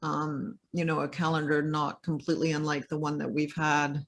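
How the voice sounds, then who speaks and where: A man being interviewed over a video call, his voice sitting slightly high. He talks casually and hesitantly, circling back with fillers before finishing a thought. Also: completely flat delivery, normal speed, fairly bright tone, a little hiss or hum. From Belgium or United States. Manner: hesitant, many fillers and restarts. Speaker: interview guest. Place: home in a French village.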